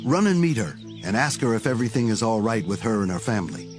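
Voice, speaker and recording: A low-voiced, commanding prophet. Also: male voice, very animated, quick pace, over music. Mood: urgent, commanding. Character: authoritative male Hebrew prophet, caring and commanding. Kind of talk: dramatized scripture audiobook acting.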